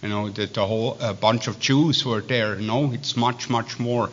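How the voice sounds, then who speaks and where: A man with a Swiss accent preaching a sermon, reading scripture and breaking off into halting, digressive asides full of restarts. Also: mid-range voice, fairly even intonation. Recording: dull lo-fi sound.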